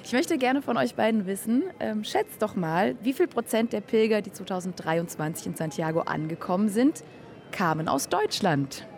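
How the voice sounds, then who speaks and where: A female radio co-host on location outdoors, speaking easily and cheerfully. Her mid-pitched voice carries a gravelly fry. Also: animated, moderate pace, a little mellow. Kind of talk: casual radio chat.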